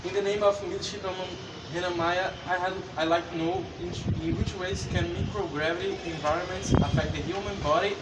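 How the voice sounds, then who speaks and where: A man with a fairly high voice reads out a formal radio question in non-native English. He sounds distant, in an echoey space full of loud noise. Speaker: questioner for a naval midshipman.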